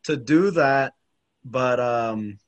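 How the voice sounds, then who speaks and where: A man's voice: medium pitch, very slow. A young man in a casual podcast chat, reflecting on his own habits in a hesitant way full of fillers.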